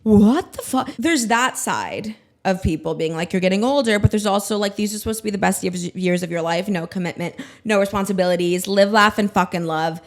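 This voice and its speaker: A woman's voice: medium pitch, fairly quick, few pauses. A woman in her twenties hosting her own podcast, ranting in a scattered, self-mocking way and swearing freely. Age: 20s. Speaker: podcast host. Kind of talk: casual solo podcast monologue.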